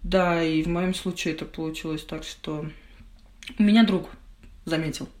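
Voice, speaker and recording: A young woman giving a candid personal account as a podcast guest, speaking in halting, reflective phrases. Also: sits low, steady tone, darkish tone.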